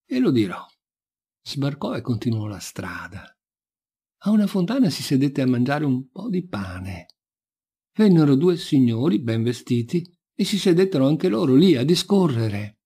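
A man narrates a folk tale in a lively, swooping storyteller's delivery. His voice is mellow and slightly husky, at a middling pitch. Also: normal speed.

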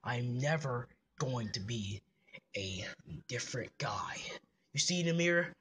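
A performer voicing a gruff, self-serious male hedgehog, sullen and curt, in a homemade character skit. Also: medium pitch, a little slow, full character voice. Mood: brooding. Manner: deadpan, curt, sullen, sometimes hushed. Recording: noticeable background noise.

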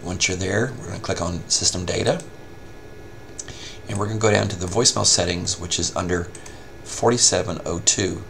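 A man giving a casual, step-by-step software tutorial as a help desk instructor. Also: sits low, fairly even intonation, normal speed, gravelly, a little hiss or hum. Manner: informal step-by-step explaining.

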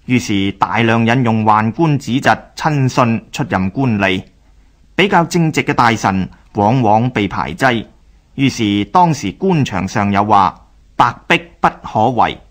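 A man with a Hong Kong Cantonese accent narrates a scripted historical radio drama in a measured, formal storyteller's manner. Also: medium pitch.

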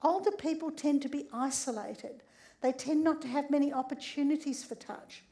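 Older woman, slightly croaky, high voice, lively stage lecture with frequent audience check-ins. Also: normal speed. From Australia. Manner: conversational, often tags lines with "right?". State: a bit croaky. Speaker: speaker giving a public talk. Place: large theatre stage.

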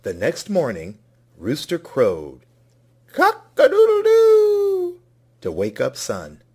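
Guy narrating a kids story, real slow, lively pitch, bit rough voice. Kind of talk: scripted children's story narration.

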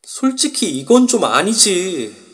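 A man's voice: high-pitched, big pitch swings, takes it slowly, a bit bright, heavily acted. A man narrating as a wry, musing young man in first-person inner monologue. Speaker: narrator and lead.

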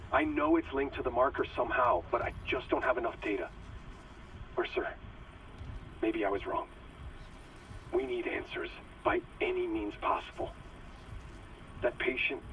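A grave ship's doctor, acted by a man, with a mid-pitched voice over faint noise. He sounds earnest and troubled, confiding his worries as in a video game audio log. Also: a bit bright, close to an everyday voice, rough recording.

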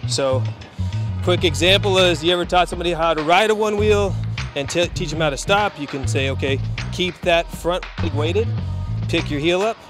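A man's voice: a little high, normal speed, music in the background. A man explaining a training device in a loose, conversational way with fillers. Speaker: trick instructor. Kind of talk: casual explanation.

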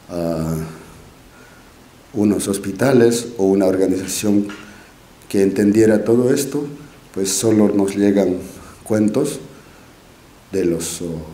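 A young Quechua-speaking man with an Andean accent addresses a forum from a podium mic, pausing often and restarting his phrases. His voice sits low and mellow, and he speaks slowly. The auditorium gives it a roomy echo. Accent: Quechua-speaking Andean Spanish. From Peru, Q'ero nation. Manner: halting and modest, with many restarts and fillers. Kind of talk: speech at a podium. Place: large auditorium stage. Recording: echoey auditorium, faint room noise.

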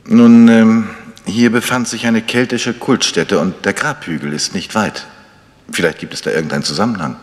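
An actor playing a polite, inquisitive amateur detective in a German radio mystery. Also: male voice, lowish voice, normal speed, close to an everyday voice. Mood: polite, probing. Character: probing, courteous amateur detective. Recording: faintly noisy old radio recording.

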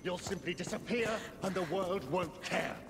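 A woman playing a menacing, fanatical hunter in a video game, taunting her prey with deliberate delivery. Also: lowish voice, close to an everyday voice. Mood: insistent, threatening. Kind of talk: dramatic video game villain acting. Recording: very loud game noise behind voice.

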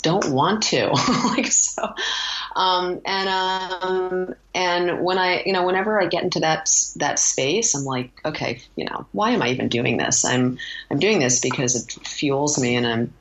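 A woman with a very low voice, chatting casually as an interview guest. She rambles reflectively and often breaks off her own sentences. The recording is a bit dull and lo-fi. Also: measured pace.

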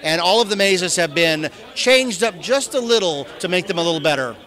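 Bright, high-pitched American man, enthusiastic promoter. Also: expressive, moderate pace. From Southern California, United States. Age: middle-aged. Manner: enthusiastic, salesman-like. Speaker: event master of ceremonies being interviewed. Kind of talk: promotional red-carpet interview. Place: outdoor press backdrop at a theme park at night. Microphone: handheld reporter microphone.

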